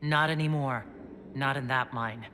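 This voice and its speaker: A man's voice: medium pitch, unhurried, bright, forceful tone. A man playing a resolute, practical fantasy leader in a video game, speaking in a composed, matter-of-fact way. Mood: grim. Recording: clear background noise and ambience.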